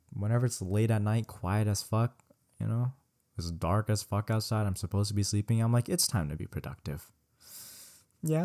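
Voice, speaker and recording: A young Californian man talks loosely through a list of questions, his voice a touch low and mellow. He swears freely, tacks "bro" onto his sentences and corrects himself mid-thought. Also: gravelly. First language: Vietnamese. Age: young adult. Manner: slangy and profane, says "bro" a lot; rambling, self-correcting, laughs at himself. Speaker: solo podcast host. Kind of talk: casual solo Q&A chat.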